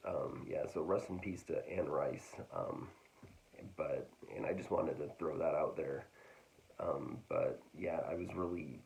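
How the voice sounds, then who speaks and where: A man casually talking through a book haul, hesitant and full of fillers, his voice getting raspy. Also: low-pitched, a little mellow, a little hiss or hum, poor audio. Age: adult. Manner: hesitant, full of ums and restarts. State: getting raspy, clearing throat. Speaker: book vlogger.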